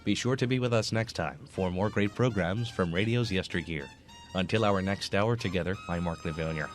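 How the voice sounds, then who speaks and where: A man with a fairly low voice hosts a radio program. He reads scripted introductions and sign-offs over faint music. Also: moderate pace. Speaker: radio host. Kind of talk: scripted narration, radio presenting.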